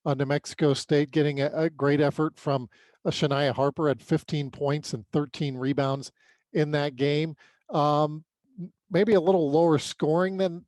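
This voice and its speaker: An American man co-hosting a sports podcast, giving an unscripted recap in a halting way with repeated words. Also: a little high, a little mellow, no room sound.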